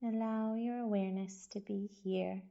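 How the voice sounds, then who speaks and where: A woman guiding a body scan meditation as a yoga teacher, giving gentle, soothing instructions with long pauses. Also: medium pitch, steady tone, creaky, highs cut off.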